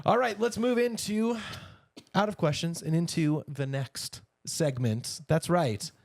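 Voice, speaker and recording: A man's voice: on the high side, takes it slowly, vocal fry, no echo, studio quality. A man in his thirties co-hosting a podcast in a casual, playful chat, with a jokey, bantering way of talking. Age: 30s. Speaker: podcast co-host.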